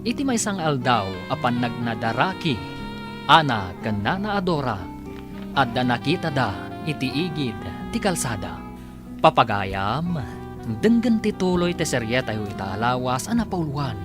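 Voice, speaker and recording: A man narrates a scripted radio serial as its announcer. His medium-pitched voice moves up and down with animation. Clear instrumental music plays behind him. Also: very animated, moderate pace. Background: guitar and instrumental music.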